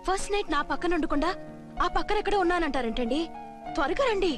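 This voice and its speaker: An actress playing a coy but demanding new bride in a film comedy. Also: female voice, very high-pitched, lively intonation, fast, music in the background. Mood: impatient, demanding.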